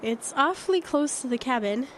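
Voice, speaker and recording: Anxious, high-voiced, defiant fiancée. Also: female voice, animated, moderate pace, slight background noise. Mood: wary, teasing. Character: frightened, doubting, defiant young fiancée. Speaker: actor. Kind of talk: audio drama acting with inner-monologue narration.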